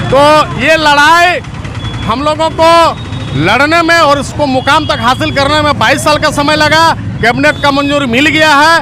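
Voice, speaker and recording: A man with a Jharkhand accent speaking as an activist in a political interview, earnest and speech-like. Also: very high voice. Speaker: activist, movement leader. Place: outdoors at a road junction. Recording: noisy outdoor roadside recording. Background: loud traffic and vehicle noise.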